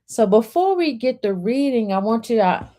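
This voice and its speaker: A woman hosting a storytime as a reverend, speaking in measured short phrases as she welcomes, guides and reads aloud. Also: on the high side, big pitch swings, dark tone. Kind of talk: gentle guided centering and picture book read-aloud.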